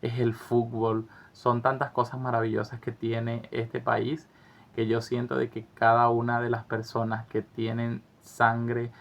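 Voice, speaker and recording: A man's voice: medium pitch, completely flat delivery, a little slow, creaky. A man from Venezuela, co-hosting and chatting earnestly and reflectively about life abroad. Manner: earnest, reflective, some hesitant restarts. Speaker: co-host of travel channel. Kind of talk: casual conversational commentary.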